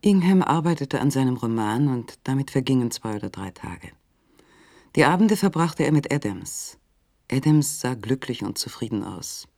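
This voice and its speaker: An actor narrating a literary radio play in a measured, matter-of-fact manner. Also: male voice, medium pitch, big pitch swings, creaky, darkish tone, dry recording, clean studio sound. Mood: measured, detached.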